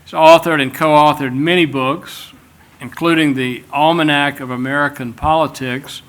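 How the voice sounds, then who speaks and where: A man's voice: fairly high pitch, moderate pace. Man introducing a panel speaker. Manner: relaxed, with some hesitations. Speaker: event moderator. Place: lecture hall.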